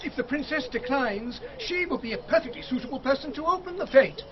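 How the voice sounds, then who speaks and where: An actor playing an obsequious, fussy husband in a radio drama. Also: male voice, very high-pitched, lively intonation, brisk, crowd noise. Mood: deferential. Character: obsequious, fussy, oily husband of an older lady. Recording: muffled, background sounds.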